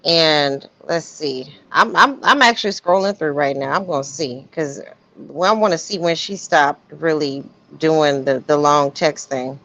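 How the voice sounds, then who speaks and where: Lower-pitched woman, casual stream host. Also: measured pace, fairly bright tone. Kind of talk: casual commentary on text messages. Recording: dull, lo-fi stream audio.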